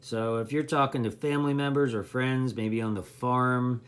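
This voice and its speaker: Guy just chatting thru hobby tech stuff for beginners, folksy, kinda rambly, avg pitch, fixes his words as he goes. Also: fairly even intonation, normal speed. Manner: rambling, folksy, self-correcting. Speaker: CB radio hobbyist explaining gear to beginners. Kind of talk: casual unscripted explainer.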